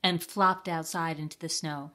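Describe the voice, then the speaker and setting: A woman reading a children's picture book aloud in a deliberate, expressive storytime style. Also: sits low. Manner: deliberate, expressive, with playful sound words. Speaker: storytime reader at a library.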